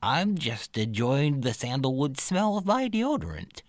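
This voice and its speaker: A man's voice: mid-range voice, very animated, measured pace, dry recording, studio quality, voice pushed up. A man voicing an earnest, nerdy, polite boy in a children's audio story.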